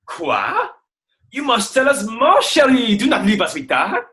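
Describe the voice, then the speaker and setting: Animated, excitable Frenchman, bright voice. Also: very high-pitched, unhurried, exaggerated voice. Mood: alarmed, excitable. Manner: theatrical, peppered with French exclamations. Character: excitable, worldly French male fairy-tale prisoner. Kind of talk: irreverent radio play acting.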